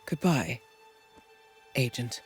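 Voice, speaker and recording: Over clear background music comes a coolly elegant female spy handler from a game cutscene. She speaks slowly and formally, with expressive rises and falls. Her manner is poised and calculating, tinged with wistfulness. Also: a bass voice, exaggerated voice. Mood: grave, wistful. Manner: measured, deliberate, formal. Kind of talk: dramatic video game cutscene acting. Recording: music behind the voice.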